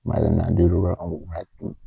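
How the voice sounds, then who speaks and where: A man recording a heartfelt, halting confessional vlog on a handheld phone in a small closet, with a TV faintly audible from the next room. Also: deep voice, completely flat delivery, vocal fry, slightly rough, dry recording, narrow, tinny audio. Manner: halting, heartfelt, stops often to find words. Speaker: vlogger. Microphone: handheld phone camera. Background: faint TV music from the next room.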